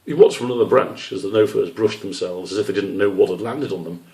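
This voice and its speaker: A man's voice: fairly low pitch, quick pace, dark tone. A man reading aloud from his own children's book as a storybook narrator. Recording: roomy echo, distant microphone.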